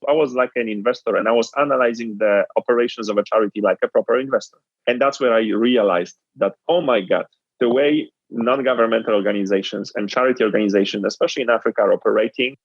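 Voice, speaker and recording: A man's voice: mid-range voice, husky, no room sound, lo-fi sound. A man from Poland with a Polish accent, telling his story as an interview guest in an earnest, reflective way. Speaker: guest entrepreneur.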